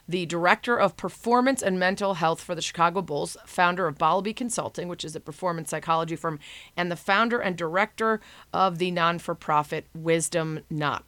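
A woman with a lowish voice hosts a podcast in a chatty, upbeat way. Her voice sounds close and dry, with no room around it. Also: fairly bright tone, dry recording. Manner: upbeat, chatty, reads listener reviews. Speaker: podcast host. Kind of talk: conversational podcast interview.

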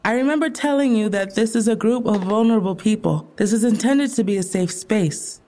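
A woman's voice: mid-range voice, fairly quick, warm tone, lightly acted. A woman playing a protective, indignant therapy group leader in an audio drama, with a guarded, confrontational way of talking. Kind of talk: naturalistic audio drama dialogue.

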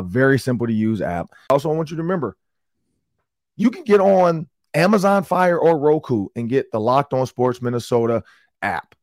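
A hoarse, raspy middle-aged man with an Upper Midwest accent rambles excitedly through a sports story. His voice sits at an ordinary pitch, and the recording is dry and close. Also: no room sound. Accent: Upper Midwest American. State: hoarse from yelling. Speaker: sports talk show host. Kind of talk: casual podcast chat.

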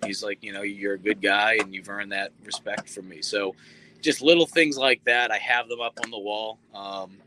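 A man in his thirties, a sports reporter, talking earnestly in a relaxed interview with many fillers. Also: lowish voice, normal speed, background noise. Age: 30s. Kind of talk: casual interview talk.

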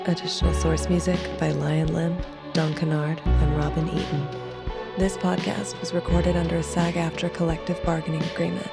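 A woman reading scripted credits as an announcer, in a measured, matter-of-fact manner. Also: low-pitched, level tone, vocal fry. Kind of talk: scripted credits and sponsor read. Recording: loud music bed under voice. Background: music.

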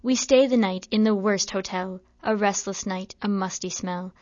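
A woman reading a poem aloud in a calm, plain way. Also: medium pitch, moderate pace, no room sound. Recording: dry, slightly dull recording.

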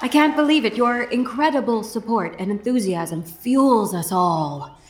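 A woman playing an effusive, theatrical Broadway director giving a gushing, grandiose speech with dramatic pauses. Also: on the high side, animated. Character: effusive, theatrical female Broadway director addressing an opening-night crowd. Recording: echoey stage microphone with noisy crowd.

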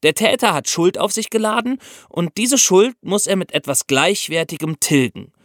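Male co-host for an explainer podcast, didactic, highly animated intonation, dry close studio sound. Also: normal speed, no echo. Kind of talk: explanatory podcast talk for young listeners.

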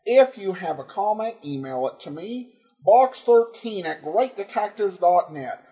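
A man's voice: sits high, lively intonation, measured pace, warm tone. A man with an American accent hosting a podcast, giving informal introductions and announcements. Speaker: podcast host.

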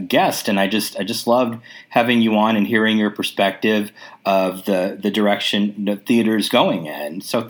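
A podcast host speaking at a mid-range pitch in a casual interview. Enthusiastic, often repeating and stumbling over words while thanking a guest and recommending shows.

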